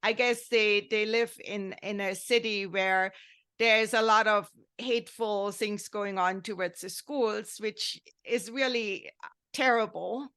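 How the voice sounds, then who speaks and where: A woman's voice: mid-range voice, fairly even intonation, takes it slowly, a bit bright, smooth. A woman from Germany with a German accent, telling a story in earnest, rambling podcast talk and often checking in with "right?". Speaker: podcast host.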